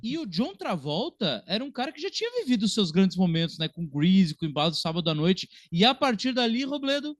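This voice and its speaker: Brazilian guy hosting a movie podcast, highish voice, jokey and animated, no room echo at all. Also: a little fast, few pauses, studio quality. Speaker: film podcast host. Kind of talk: casual film chat.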